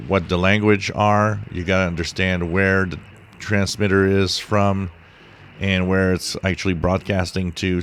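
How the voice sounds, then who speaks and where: A man giving an informal, explanatory screen-share tutorial in a halting, rambling, instructive way. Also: low voice. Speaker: shortwave radio hobbyist, tutorial host. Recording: steady hiss behind the voice.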